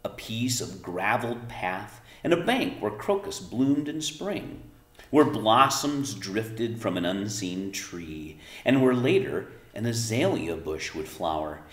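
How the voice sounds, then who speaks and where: A man reading a storybook aloud as narrator, with expressive, emphatic phrasing and casual explanatory asides. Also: fairly low pitch, takes it slowly, roomy sound.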